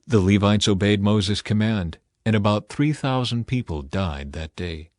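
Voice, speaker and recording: Man narrating an audio bible, measured n serious, super dry studio-type sound. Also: fairly low pitch, no room sound. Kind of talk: scripted scripture narration.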